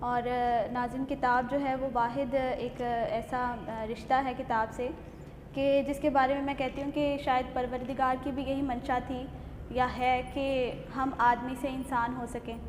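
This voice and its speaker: A Pakistani woman hosting a literary show, with formal, reflective and measured wording. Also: high-pitched, level tone. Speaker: host. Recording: echoey hall, audible background noise.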